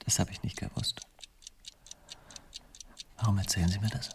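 An actor playing a guarded, proud academic husband under questioning in a German radio crime drama, answering tersely and quietly. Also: male voice, lowish voice, steady tone, moderate pace, gravelly, distant mic, noisy background. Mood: defensive.

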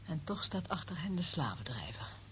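A woman's voice: low voice, big pitch swings, normal speed. An actress playing a cynical female eavesdropper in a radio play, sardonic and theatrical, relishing what she knows. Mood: scornful. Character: cynical, gloating female eavesdropper of a secret service. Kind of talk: radio drama acting. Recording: narrow tinny old radio recording, faint noise.

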